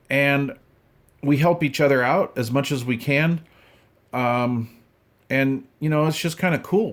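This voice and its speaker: A man in his fifties with a mid-pitched voice talks like a hobbyist showing things to friends. His delivery is casual and folksy. He rambles along in loose, informal slang. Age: 50s. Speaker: radio hobbyist vlogger. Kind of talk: casual unboxing chat.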